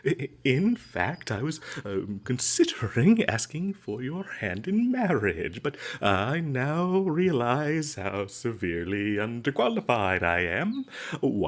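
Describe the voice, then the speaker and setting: A man playing a nervous, smooth-talking captured adventurer in a comedic fantasy audio roleplay, stammering and hesitant as he tries to ingratiate himself. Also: medium pitch, heavily acted. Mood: flattering, nervous. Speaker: voice actor.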